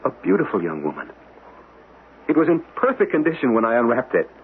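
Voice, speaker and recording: A man's voice: mid-range voice, wildly swooping pitch, a little fast. An actor playing an earnest, alarmed man in a radio mystery drama, speaking with dramatic urgency. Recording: muffled, noisy old radio broadcast.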